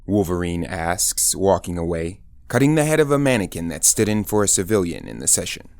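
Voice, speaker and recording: Deep-voiced male audio drama narrator. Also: very animated, a little fast, creaky, darkish tone.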